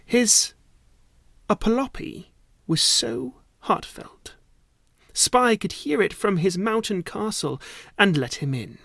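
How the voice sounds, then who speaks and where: A male voice actor narrates a story with theatrical weight. He takes his time over the phrases, slipping at times into hushed delivery. The sound is dry, with no room around it. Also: sits high, sing-song, takes it slowly, gravelly, no room sound. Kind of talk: dramatic narrated story reading.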